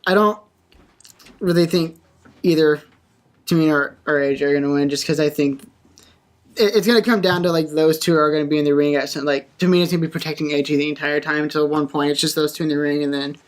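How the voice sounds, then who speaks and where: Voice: medium pitch, steady tone, fast talker. A podcast panelist talking in a casual, conversational style. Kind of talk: casual chat.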